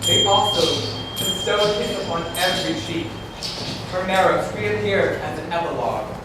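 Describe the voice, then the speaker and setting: A man's voice: high voice, moderate pace. A man reading scripted stage directions as narrator of a staged reading. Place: community hall stage. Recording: echoey hall, distant, loud noise.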